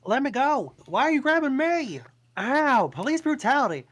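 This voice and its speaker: A frantic talking donkey yelps out protests as he gets grabbed, read by a woman. The voice is high and jumps all over. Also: big pitch swings, normal speed.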